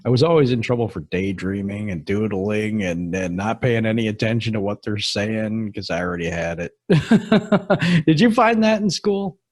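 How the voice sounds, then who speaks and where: Male podcast host, husky, lively swings in pitch, chatty and quick to laugh. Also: dry recording, clean studio sound. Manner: laughs often, animated and chatty. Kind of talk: casual interview chat.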